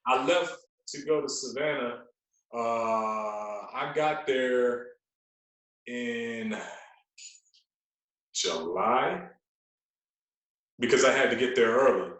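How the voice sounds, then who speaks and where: A man's voice: mid-range voice, takes it slowly, long pauses, fairly bright tone. Echoey American man telling stories casually. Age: 40s. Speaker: radio personality, podcast guest. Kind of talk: casual interview storytelling. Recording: very echoey, roomy sound.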